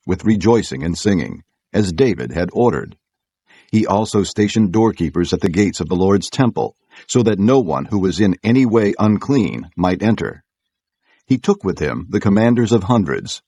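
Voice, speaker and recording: Deep, husky male scripture narrator. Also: mellow, dry recording.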